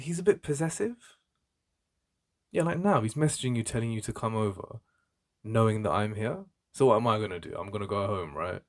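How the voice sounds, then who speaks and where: Male actor as protective, jealous English best friend for ASMR roleplay; very low, creaky voice, halting and intimate. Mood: frank disapproval, then frustrated. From England. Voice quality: deep. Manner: halting, conversational, emphatic repetition.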